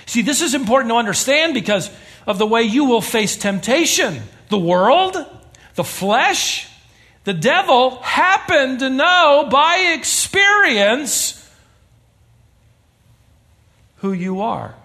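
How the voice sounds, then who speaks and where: A middle-aged man preaching a sermon in a church, stressing key words and repeating them, swinging from loud, high points down to low, quiet lists. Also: big pitch swings, slow pace, long pauses. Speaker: pastor. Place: church sanctuary.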